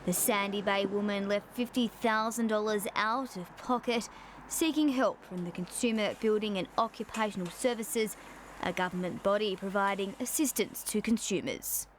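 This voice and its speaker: A woman reporter delivers a news package voice-over in a steady, formal tone at a middling pitch. A faint hum sits underneath her voice. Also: normal speed.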